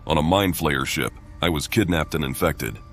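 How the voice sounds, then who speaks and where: An actor playing a stoic, cautious adventurer in a fantasy game, terse and serious. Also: male voice, a bass voice, expressive, moderate pace, gravelly, fairly bright tone, heavily acted. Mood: matter-of-fact. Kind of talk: video game voice acting. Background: background music.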